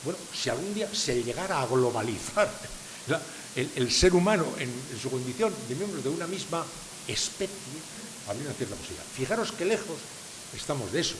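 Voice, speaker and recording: A man's voice: medium pitch, very animated, brisk. An older man from San Sebastián, Spain, giving a public lecture to a live audience, asking rhetorical questions and stressing his points. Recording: reverberant hall with faint hiss.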